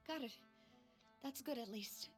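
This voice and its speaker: A woman's voice: sits high, slow pace. A woman playing a kind but resolute young syndicate leader in a video game, speaking in an earnest, composed, formal manner. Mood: firm, composed. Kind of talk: video game story voice acting. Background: game music.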